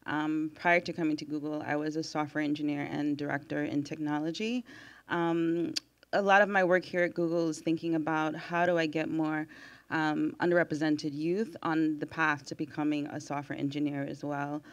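Measured woman on a panel. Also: deep voice, flat delivery, muffled recording. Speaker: panelist, diversity manager. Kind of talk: panel introduction to students. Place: stage at a large event space. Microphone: handheld microphone.

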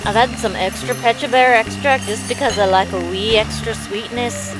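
A woman voicing a sweet, nostalgic creature competing in a cartoon baking show, gentle and chatty. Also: fairly high pitch, normal speed, lightly acted, rough recording. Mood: cheerful. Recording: loud music behind the voice.

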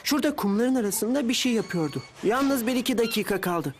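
A woman's voice: on the high side, lively intonation, fairly quick, lightly acted. A woman voicing an earnest, helpful character in a cartoon. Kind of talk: animated cartoon dub acting. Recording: music behind the voice.

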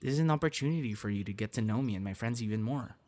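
A man's voice: mid-range voice, rapid-fire, a little mellow, close to an everyday voice. Man acting a friendly, coaxing young guy. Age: young adult. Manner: earnest, coaxing, sighs and breathy reactions. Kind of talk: ASMR audio roleplay, one-sided dialogue to listener.

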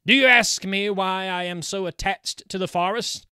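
Calm quiet forest stranger, man reading it, lower and soft. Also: moderate pace, clean tone, no echo, clean studio sound.

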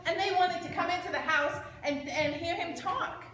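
High-voiced woman telling children's stories. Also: moderate pace, a bit bright. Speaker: children's church storyteller. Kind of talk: animated storytelling to children. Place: large church sanctuary. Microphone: handheld microphone. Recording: echoey church, noisy room.

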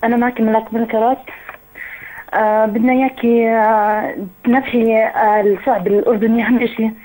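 A woman's voice: on the high side, level tone, fast, darkish tone. A woman in her twenties with a Jordanian Arabic accent, calling in to a live show and talking earnestly with many fillers. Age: 20s. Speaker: caller. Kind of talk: phone-in call. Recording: phone line audio.

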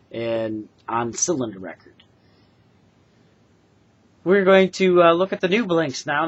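Casting: man, 40s, husky mid-pitched voice, earnest craftsman explaining his wares haltingly to a webcam, muffled lo-fi sound. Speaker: craftsman presenting his handmade products. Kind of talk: informal product demonstration to camera. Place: small bedroom, indoors. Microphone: webcam or laptop mic at desk distance.

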